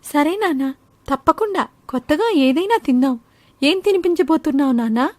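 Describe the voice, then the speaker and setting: A cheerful, curious village child, acted by a woman for a cartoon moral tale. The pitch sits very high and the sound is close and dry. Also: animated, normal speed, a little mellow, dry recording. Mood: eager. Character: curious, cheerful young village girl, farmer's daughter. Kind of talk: animated moral story acting.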